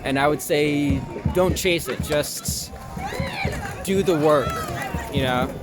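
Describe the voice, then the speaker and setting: A man's voice: on the high side, slow, music in the background, a crowd in the background. A young American man giving casual, somewhat hesitant interview answers in informal language. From Long Island, United States. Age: young adult. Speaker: actor being interviewed.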